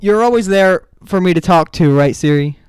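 A man's voice: high voice, animated, moderate pace, a little mellow, clear, resonant tone, no room sound, clean studio sound. A young man co-hosting a podcast, joking around in a goofy, playful, teasing way. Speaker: podcast co-host. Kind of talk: casual comedic chat.

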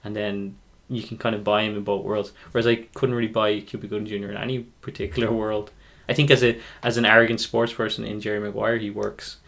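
Fast-talking low, creaky-voiced man. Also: little pitch movement, fairly bright tone. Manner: opinionated, rambling, thinking aloud. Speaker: podcast co-host. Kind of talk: casual film review chat.

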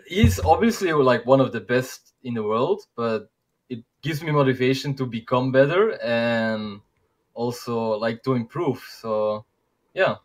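Slow, hesitant man with a Belgian Dutch accent. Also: mid-range voice. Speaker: show guest. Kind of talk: casual interview chat.

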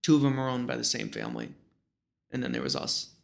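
A man's voice: mid-range voice, wildly swooping pitch, fast, vocal fry. An American man in his thirties from small-town New York, telling stories casually in a slangy, rambling, informal way. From small-town western New York, United States. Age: 30s. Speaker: guest. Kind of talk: casual conversational storytelling.

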